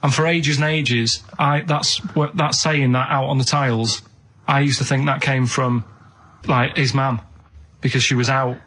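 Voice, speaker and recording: A man's voice: medium pitch, fairly even intonation, measured pace, fairly bright tone. Earnest man telling rambling anecdotes. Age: 30s. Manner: earnest, plain-spoken. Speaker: radio show co-host. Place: radio studio.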